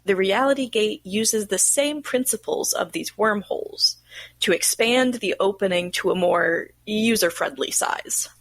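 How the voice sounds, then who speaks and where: A woman's voice: medium pitch, measured pace. A woman playing a weary research scientist recording experiment logs in an audio drama, speaking in a dry, formal, precise manner. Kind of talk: scripted audio drama log narration.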